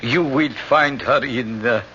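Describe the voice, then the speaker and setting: An actor playing a sly, obsequious little hotel clerk with a Spanish accent and a knowing, drawn-out delivery in a radio drama. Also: male voice, medium pitch, pushed and bright, heavily acted. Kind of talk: old-time radio character acting. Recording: muffled, slightly noisy old radio recording.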